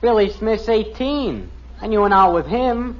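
A man's voice: high voice, lively intonation, unhurried. An actor playing a melodramatic, wounded teenage boy with an American accent in an old radio sitcom. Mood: indignant. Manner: whiny, indignant, mournful. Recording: muffled, faintly noisy vintage radio recording.

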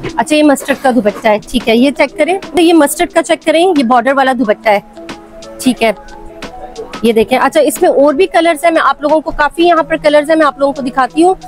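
A woman's voice: sits high, a little fast, faint music behind. A woman in her thirties with a Pakistani Urdu accent, chatting eagerly with a shopkeeper in a crowded fabric shop, on a clip-on mic. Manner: chatty, eager to see every colour. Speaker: vlogger. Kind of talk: shopping vlog chat with shopkeeper. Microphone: clip-on lapel mic.

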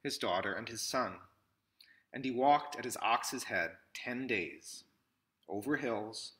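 A man in his thirties reads a storybook aloud in measured short phrases with pauses, recorded indoors at arm's length from the mic. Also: mid-range voice, bright, forceful tone. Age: 30s. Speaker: picture book reader. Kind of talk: read-aloud storybook narration. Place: small indoor room with classroom wall displays.

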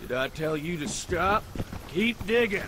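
A man's voice: sits high, lively intonation, a little slow. A man playing a cruel, taunting militia thug in a video game. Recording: distant voice amid outdoor noise.

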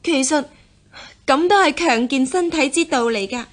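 A woman's voice: high voice, normal speed, close to an everyday voice. An actress playing a confident, well-born young woman in a historical radio drama, self-assured, with a Hong Kong Cantonese accent. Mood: dutiful, reasoning. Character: confident young gentlewoman, martial-minded daughter of official's household. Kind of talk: radio drama acting in historical costume piece.

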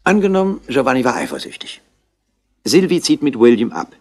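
An actor playing an eager subordinate police inspector, reporting briskly and factually in a 1960s radio play. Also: male voice, medium pitch, sing-song, a little mellow. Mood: eager, speculating.

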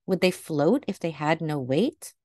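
A woman reading a picture book aloud to children in a gentle, deliberate way, recorded indoors over a video call. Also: low-pitched, lively intonation, no room sound. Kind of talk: read-aloud storytelling for children.

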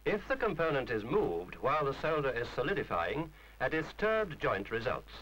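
A man's voice: mid-range voice, big pitch swings, moderate pace, fairly bright tone, a little hiss or hum, poor audio. A man reading a scripted instructional film narration in a formal, precise, didactic manner.

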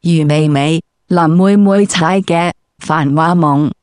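Low-voiced brash Cantonese woman interviewer. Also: slow, darkish tone, no room sound, clean studio sound. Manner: brash, crude street slang. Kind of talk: slangy, irreverent interview questioning.

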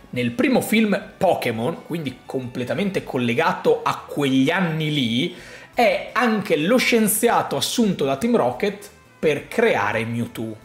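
A man, giving an expressive, emphatic explanatory commentary to viewers as a video host. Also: mid-range voice. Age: 30s. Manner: expressive, emphatic, with rhetorical questions. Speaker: video host, gaming content creator. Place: small indoor room set up as a gaming studio. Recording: roomy sound with faint music underneath. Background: faint music.

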